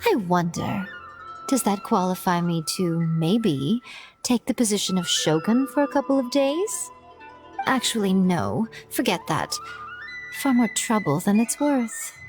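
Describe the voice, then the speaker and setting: A woman's voice: mid-range voice, very animated, unhurried. A woman voicing a playful, wise female priestess in a game, with an elegant, teasing and amused manner. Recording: game audio with faint music behind.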